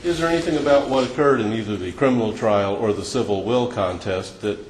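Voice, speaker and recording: Low-voiced man, methodical examiner at a hearing; roomy, noisy recording. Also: brisk. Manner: plain, methodical questions. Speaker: lawyer questioning a witness. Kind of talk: formal questioning at a hearing. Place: hearing room. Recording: echoey room with background noise.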